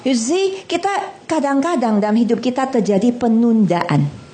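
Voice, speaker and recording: A middle-aged woman preaching to a congregation in a hall. She is animated and blunt, jokes in casual slang and keeps asking the audience questions. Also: fairly high pitch, moderate pace. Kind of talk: lively sermon.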